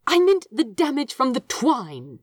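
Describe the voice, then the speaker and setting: A woman voicing a gentle, anxious, prim male angel in an audiobook story, soft, fussy and earnest. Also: high-pitched, wildly swooping pitch, unhurried, no room sound, clean studio sound, voice pushed up, full character voice. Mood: anxious, relieved.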